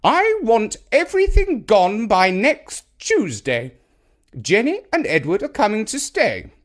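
A man's voice: high voice, wildly swooping pitch, unhurried, pitched above the performer's own voice, full character voice. A man voicing a haughty, snappish elderly English grandmother in a children's storybook, scolding with indignant emphasis. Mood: snappish, bossy.

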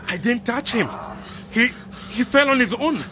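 An actor playing a middle-aged, self-important civil servant, officious and easily offended, in a radio drama. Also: male voice, extremely high pitch, expressive, a little slow, background noise. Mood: alarmed. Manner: indignant, officious. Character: touchy, stonewalling male village civil servant, self-important.

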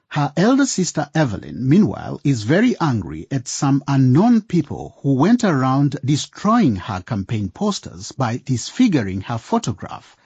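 Radio drama presenter: man, very lively intonation, declamatory, mellow tone, slightly dull dry recording. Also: medium pitch, slightly rough, dry recording. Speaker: narrator and presenter. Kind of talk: scripted radio drama narration.

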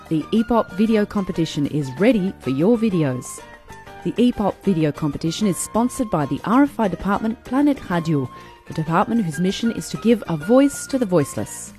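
A female radio host with a mellow, mid-pitched voice reads a scripted announcement. Her intonation is lively, and faint music sits underneath.

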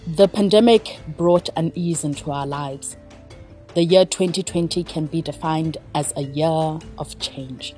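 A woman host reading the scripted introduction of a podcast in a clear announcing manner. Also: sits low, a little slow, mellow, faint music behind. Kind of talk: scripted narration.

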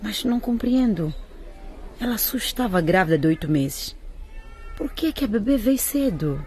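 A woman's voice: mid-range voice, expressive, normal speed, darkish tone, a little hiss or hum, lightly acted. A woman playing an anxious, devoted middle-aged mother in a radio drama, fretful and exclaiming. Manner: fretful, exclaiming, stumbling over words.

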